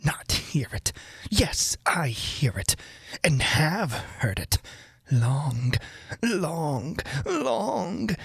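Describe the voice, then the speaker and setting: A reader voicing a terrified, guilt-ridden, hysterical aristocrat madman in a dramatic gothic audiobook, gibbering between low murmurs and shrieks. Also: breathy edge, no echo, clean studio sound, exaggerated voice. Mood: anguished, whispering.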